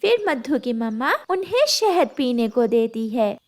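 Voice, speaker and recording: A woman's voice: a little high, sing-song, measured pace. A woman narrating a scripted children's cartoon story as its storyteller.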